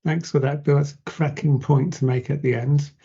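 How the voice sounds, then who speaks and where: A man's voice: a little high, steady tone, normal speed, dark tone, husky. A man hosting a podcast interview, casual and joking in his questions. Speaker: podcast host. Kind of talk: conversational interview. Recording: dull lo-fi sound.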